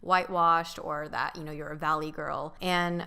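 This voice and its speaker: Low-voiced woman host from Orange County. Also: level tone, bright, forceful tone, clear, resonant tone. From Orange County, California. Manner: measured, steers the talk with questions. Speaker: podcast host, moderator. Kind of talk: casual chat.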